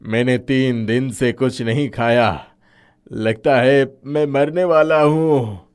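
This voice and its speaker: A man's voice: medium pitch, steady tone, takes it slowly, clear, resonant tone, lightly acted. A man voicing a frail, benevolent old holy man in a cartoon moral story, solemn and blessing. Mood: weak, pleading. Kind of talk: animated moral story acting.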